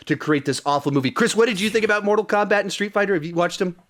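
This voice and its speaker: A man with a fairly high voice hosts a film podcast. He talks in a casual, conversational way, as if discussing things with friends. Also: very fast, fairly bright tone. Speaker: podcast host.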